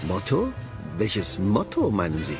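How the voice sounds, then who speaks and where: Old male receptionist, formal, courteous, puzzled, highly animated delivery, faint music, audio drama. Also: a little slow, heavily acted. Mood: puzzled, polite. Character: stiff old male hotel receptionist of the 1940s. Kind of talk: German audio drama acting.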